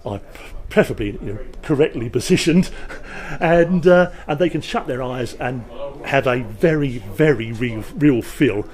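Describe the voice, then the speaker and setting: A man of medium pitch presents a product as a company representative at a trade show. His delivery is informal and explanatory, with emphatic sales patter and dry, joking asides. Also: animated, unhurried, darkish tone.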